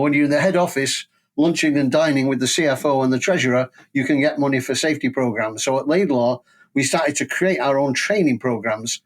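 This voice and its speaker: An older man with a Manchester English accent, telling stories conversationally as an interview guest. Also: medium pitch. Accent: Mancunian English. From England. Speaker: podcast guest, safety training company president. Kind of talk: conversational career storytelling.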